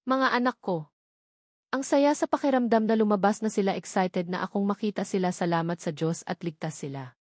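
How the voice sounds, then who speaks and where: An anxious, loving young mother from an audio novel, read by a woman. She speaks with emotion and affection at a medium pitch. The sound is close and dry. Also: fairly quick, no echo, close to an everyday voice. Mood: eager, happy.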